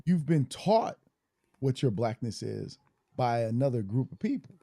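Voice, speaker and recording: Mellow-voiced man, dry close sound. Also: mid-range voice, very animated, a little slow, creaky, dry recording. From Germany. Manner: reflective, storytelling. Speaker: podcast co-host. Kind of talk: casual discussion.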